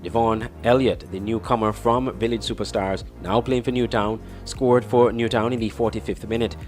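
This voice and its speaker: A man's voice: lowish voice, fairly even intonation, moderate pace. A man reading scripted sports news as a newsreader. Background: music bed.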